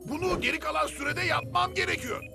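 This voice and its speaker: A man voicing an excitable, brainy old grandfather inventor in a Turkish-dubbed cartoon, explaining things emphatically. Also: very high voice, fairly quick, gravelly, music in the background. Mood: urgent.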